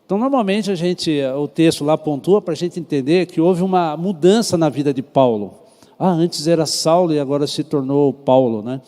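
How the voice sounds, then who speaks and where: A man's voice: a little high, moderate pace, warm tone. A man with a Brazilian Portuguese accent, a pastor teaching a Sunday school Bible class in a conversational way, often checking in with the class. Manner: conversational, asks the class questions. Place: church hall. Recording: echoey hall with long reverb.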